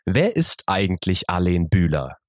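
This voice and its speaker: A man's voice: sits low, big pitch swings, normal speed, no room sound, studio quality. A man hosting an interview podcast, asking questions in a hesitant way with lots of fillers and restarts. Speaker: podcast host.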